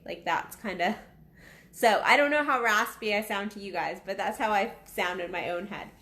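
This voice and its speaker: A woman in her thirties, a sex coach, talking casually and bluntly to camera, her voice raspy from being unwell. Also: mid-range voice, fairly bright tone, reverberant. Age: 30s. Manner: frank, blunt, swears freely. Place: small waiting room at her office.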